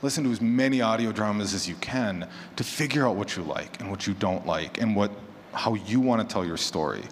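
A man's voice: fairly low pitch, a little fast. A man talking casually on a panel, in a conversational, encouraging manner. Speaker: panelist and horror fiction podcaster. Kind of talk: casual panel talk to an audience. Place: large indoor room at a convention panel. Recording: echoey hall with clear background noise.

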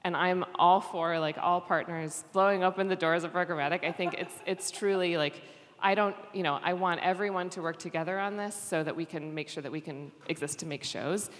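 In a big echoing hall, a woman with a slightly low voice talks as a panelist. She speaks unscripted and emphatically, stopping and restarting phrases as she makes her point. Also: steady tone. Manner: emphatic, halting with restarts and repeats. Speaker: panelist from a fiction podcast company. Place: indoor conference panel hall. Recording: reverberant hall.

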